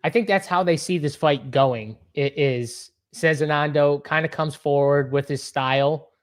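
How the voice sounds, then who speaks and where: A man with a Worcester, Massachusetts accent talks through fight picks in an easygoing way, agreeing readily and poking fun at himself. His voice sits a bit higher than most men's. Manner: agreeable, quick to chime in, self-deprecating. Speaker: MMA betting analyst. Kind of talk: casual betting chat.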